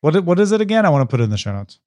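A man with a fairly high-pitched voice chats casually as a podcast co-host. His manner is playful and bantering, and the sound is dry, with no room around it. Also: big pitch swings, rapid-fire, a little mellow, dry recording. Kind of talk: casual chat.

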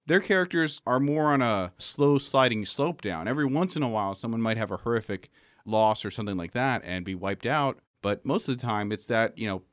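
A man's voice: mid-range voice, animated, fairly quick, no room sound. Man explaining things casually, close dry sound. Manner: explanatory, peppers talk with "like". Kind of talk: casual panel chat about tabletop gaming.

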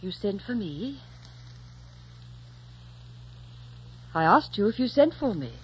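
An actress playing a poised, teasing young noblewoman in a 1940s radio romance, coolly playful and gently mocking. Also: mid-range voice, sing-song, moderate pace, natural voice. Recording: dull, noisy 1940s radio recording.

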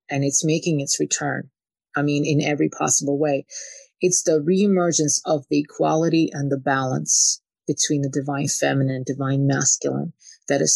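A woman's voice: sits low, gravelly, darkish tone, dry recording. A woman from Ukraine talking as a spiritual channeler and interview guest, often dropping in "you know" as she goes. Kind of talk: spiritual conversation.

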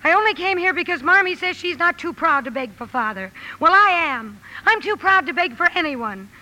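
A woman's voice: very high voice, lively intonation, moderate pace, pushed and bright, full character voice. A woman acting a proud, headstrong, tomboyish young woman in a 1950s radio drama, speaking forthrightly. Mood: impatient, proud. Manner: forthright, emphatic. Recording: noisy vintage radio recording.

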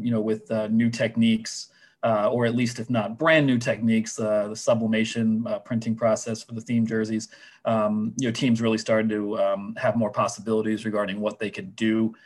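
A man whose voice is going hoarse, chatting casually on a sports podcast with lots of fillers and restarts. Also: fairly low pitch. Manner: rambling, many fillers and restarts. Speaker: minor league baseball writer guest.

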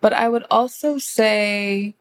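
A woman's voice: mid-range voice, takes it slowly. An American woman speaking as an interview guest, reflective and conversational, thoughtful in how she phrases things. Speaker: interview guest, horror writer and director.

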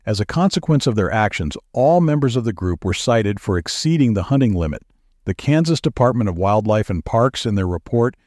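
A man reading news stories as a podcast producer, with a measured, even newsreader delivery. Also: fairly low pitch, no room sound, studio quality.

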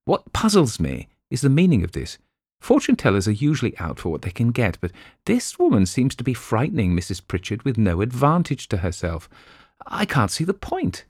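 A man voicing a practical, no-nonsense nurse who speaks in a brisk, matter-of-fact way. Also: medium pitch, wildly swooping pitch, a little mellow, slightly rough, no echo, studio quality, pitched above the performer's own voice. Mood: firm. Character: practical, no-nonsense middle-aged woman nurse.

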